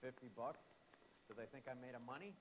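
An actor playing a wisecracking, cynical 1940s police detective in a video game, with a dry, sardonic way of talking. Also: male voice, mid-range voice, fairly quick, slight background noise, close to an everyday voice, low-quality recording. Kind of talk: video game dialogue acting. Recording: game music behind.

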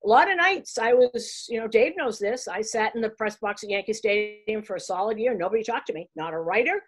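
An older woman with a Boston accent, her voice sitting a bit high for a woman. She tells her stories in an animated, chatty way and often restarts mid-sentence. She is heard on a video call from a room at home. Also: a little fast, breathy edge. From Boston, USA. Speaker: guest, baseball broadcaster. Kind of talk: casual interview storytelling. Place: room at home, framed pictures on the wall.

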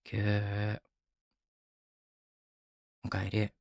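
A man playing a tender young boyfriend who teases and mock-scolds in casual, rough-edged speech, in an intimate binaural ASMR audio drama. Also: monotone, extremely slow, dull audio, full character voice. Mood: tender soothing. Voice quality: medium-low voice. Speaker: voice actor. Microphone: close binaural microphone.

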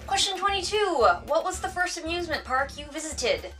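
A woman's voice: very high voice, very animated, moderate pace, fairly bright tone, recorded from a distance, soft background music. A woman in her thirties with a Wisconsin American accent, reading tag questions aloud at home and answering them with a dry, joking manner. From United States. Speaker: vlogger. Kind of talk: casual question tag vlog. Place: home room with bookshelves, indoors. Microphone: camera mic at a distance.

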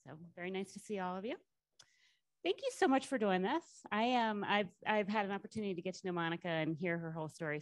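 A woman's voice: medium pitch, no echo. A woman in her forties moderating a conference chat on stage with a handheld mic, upbeat and encouraging. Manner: upbeat, encouraging, repeats words. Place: conference stage before a live audience.

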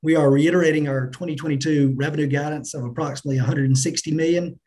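A middle-aged man answering business interview questions as a company finance chief, in a measured, businesslike manner. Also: on the high side, fairly even intonation, a little mellow. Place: indoors on a remote video call.

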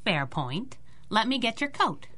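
A woman playing a brash, wisecracking young working woman in a 1930s-style radio drama, slangy and quick-witted. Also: on the high side, very animated, bright, forceful tone, heavily acted. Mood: exasperated.

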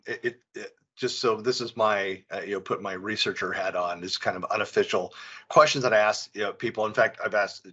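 A middle-aged man speaking casually on a video-call panel, halting and often rephrasing himself. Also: fairly low pitch, sharp tone. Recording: slightly dull video call audio.

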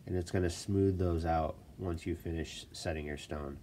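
A man with a stuffy voice from a cold, casually explaining stone setting in a relaxed, self-deprecating way at his workshop desk. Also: low voice, moderate pace, a little hiss or hum. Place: workshop desk, filmed from above.

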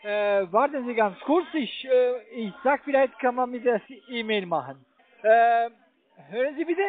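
A man with a strikingly high voice comes through a tinny phone line. He is a call center agent, speaking German. He gives computer instructions haltingly, staying polite while pressing on. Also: animated. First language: not German.

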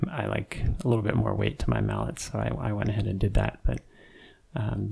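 A man narrating his woodworking build in a relaxed, unhurried way, with many ums and restarts. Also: fairly low pitch, gravelly, husky. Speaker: woodworking channel host. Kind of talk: casual voice-over narration of a build. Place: home woodshop.

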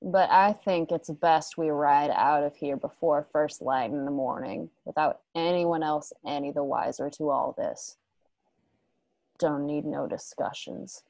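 Western radio drama: vengeful woman gunslinger played by an actress, low, terse, unhurried, very dry sound. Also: creaky, a little mellow, dry recording, full character voice. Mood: grim resolve. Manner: terse, deliberate, rustic Western phrasing. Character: vengeful, resolute young gunslinging woman rider named Mia. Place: home, remote video call.

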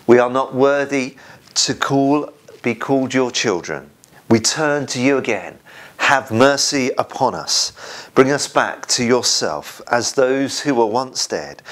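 A middle-aged man, leading prayer and reading scripture in a measured, deliberate way as a church minister. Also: mid-range voice, a bit bright. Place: small home conservatory.

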